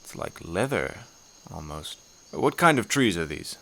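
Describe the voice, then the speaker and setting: A man playing an inquisitive, educated professor in an audio horror play, speaking politely, formally and a little haltingly. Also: low-pitched, very animated, gravelly, fairly bright tone, heavily acted. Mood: alarmed, baffled. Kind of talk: audio horror drama acting. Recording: insect-like ambient noise behind dialogue.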